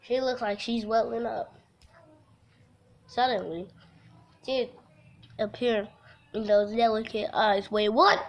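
A woman livestreaming a visual novel, reading the text aloud haltingly and adding goofy, casual asides. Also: on the high side, steady tone.

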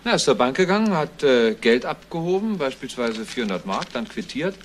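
A man's voice: mid-range voice, big pitch swings, a little fast, a little hiss or hum, close to an everyday voice. A man playing an earnest, thorough police investigator in a German crime radio play, explaining things matter-of-factly. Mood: reporting.

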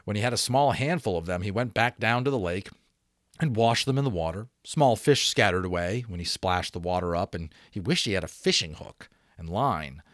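A man in his thirties narrates a novel in a fairly low voice. His delivery is expressive and dramatic, recorded close to the microphone with very little room sound. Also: normal speed, no echo. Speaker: audiobook reader, voice actor. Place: small home room, indoors. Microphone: close desk microphone.

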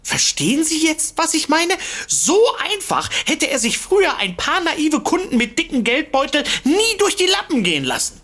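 An actress playing a nervous, obliging office assistant in a comedic audio drama, flustered and hesitant. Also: female voice, sits high, very animated, normal speed, hardly any pauses, fairly bright tone. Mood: uneasy.

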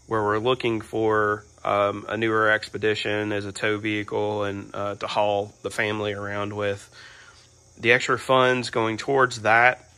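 A hoarse man hosting a garage vlog, chatting casually with lots of fillers while filming on a phone in his home garage. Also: on the low side, moderate pace. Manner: relaxed, filler-heavy rambling with frequent "um" and "you know". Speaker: garage channel host. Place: large home garage with car bays.

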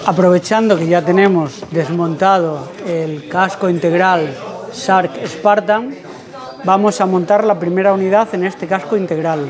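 A man narrates a hands-on tutorial as a presenter, explaining things with halting pauses. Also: high voice. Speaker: presenter of a motorcycle channel. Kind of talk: tutorial voice-over commentary.

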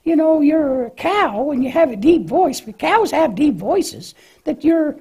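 A woman's voice: high voice, expressive, measured pace, a little mellow. A woman voicing a cheerful, naive young lamb in a children's church puppet show, hesitant and questioning in a childlike way. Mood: puzzled, chatty.

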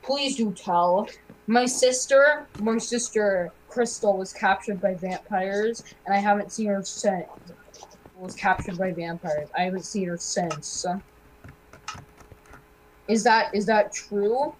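A woman acting student characters in an amateur game roleplay, halting and repeating phrases. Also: medium pitch, slight background noise, low-quality recording.

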